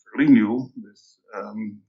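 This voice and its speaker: Older Dutch-accented man, muffled sound. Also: lowish voice. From Netherlands. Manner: measured, with many fillers and restarts. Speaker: guest, company president. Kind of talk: casual interview.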